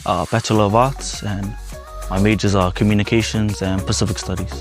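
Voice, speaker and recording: Deep, flat-voiced New young man. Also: a little fast, music in the background. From New Zealand. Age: young adult. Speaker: arts student.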